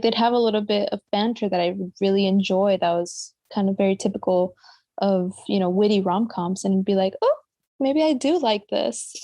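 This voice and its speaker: Casting: woman, medium pitch, very dry sound, conversational and opinionated book club guest. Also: darkish tone, dry recording. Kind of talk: casual book discussion.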